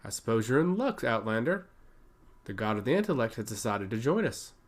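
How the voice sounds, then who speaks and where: Man playing a haughty, animated archmage. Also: medium pitch, fairly quick. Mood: dismissive. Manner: curt, dismissive. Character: haughty, impatient male archmage.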